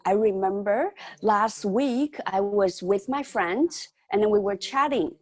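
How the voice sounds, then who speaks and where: Woman, 50s, guest speaker; chatty storyteller, non-native phrasing, mid pitch. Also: unhurried, darkish tone. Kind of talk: online training talk.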